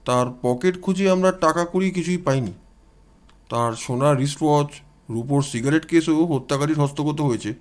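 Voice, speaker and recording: A man's voice: fairly high pitch, normal speed, natural voice. Man voicing a courteous, troubled police inspector. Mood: explaining. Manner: polite, explanatory. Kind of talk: audio story dialogue.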